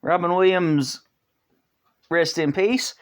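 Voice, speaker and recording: A vlog host giving casual opinion commentary, talking in a halting way, restarting phrases and filling pauses, in an earnest, conversational tone. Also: mid-range voice. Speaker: channel host.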